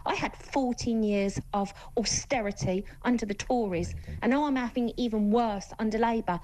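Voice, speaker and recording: A woman caller shouting in open anger, her words coming hard and indignant. Her voice sits fairly high for a woman. She rants without pausing at the guests on air. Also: slight background noise, rough recording. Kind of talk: angry phone-in rant.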